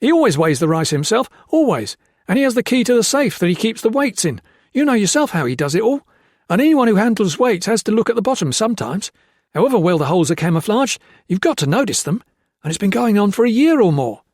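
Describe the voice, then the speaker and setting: A man voicing a terrified, cringing young male storeman who babbles and pleads in a high, frantic voice. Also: very animated, moderate pace, slightly rough, no room sound, clean studio sound, exaggerated voice. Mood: babbling.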